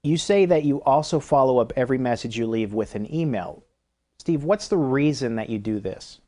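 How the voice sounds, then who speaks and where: Male interview host, medium pitch. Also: lively intonation, normal speed, dark tone. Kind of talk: prepared interview introduction and questions.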